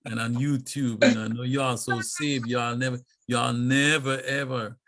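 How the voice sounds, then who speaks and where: Gravelly man on a video call, bright edgy voice, laughs a lot while telling stories. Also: medium pitch, flat delivery, moderate pace. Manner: laughing, storytelling, playful. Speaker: guest. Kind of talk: casual chat. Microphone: video call mic.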